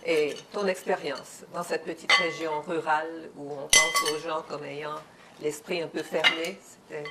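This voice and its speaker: A woman hosting a dinner-table interview in a home, speaking slowly and carefully as she searches for words. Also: sits low, fairly even intonation, heavy background noise. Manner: slow and measured, searching for words. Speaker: host. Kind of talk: hosted interview.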